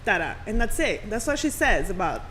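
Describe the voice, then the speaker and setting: A woman's voice: mid-range voice, very animated, a little fast, crowd noise. A woman talking casually as a podcast guest, in an informal way, often saying "like" and "you know". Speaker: radio personality, podcast guest. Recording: clear background noise.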